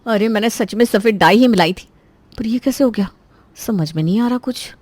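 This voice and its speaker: A woman's voice: mid-range voice, moderate pace, a little mellow, no room sound, natural voice. A woman voicing a meddling, dramatic young married sister-in-law in a Hindi cartoon story. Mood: baffled, upset.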